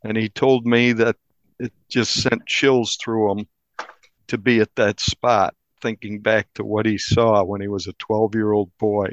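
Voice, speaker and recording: A man speaking as a reporter in an interview, recounting things in a measured, descriptive, conversational way. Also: on the low side, little pitch movement, dry recording. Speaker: newspaper reporter, interview guest. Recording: dull, band-limited audio.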